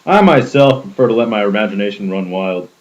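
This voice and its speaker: A man's voice: fairly low pitch, animated, a little fast, close to an everyday voice. A young man doing a creepy dog breeder. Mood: sinister.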